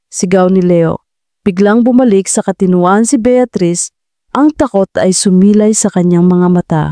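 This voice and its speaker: A woman's voice: mid-range voice, warm tone, dry recording, studio quality. A woman narrating a scripted romantic drama as a storyteller, in a dramatic style.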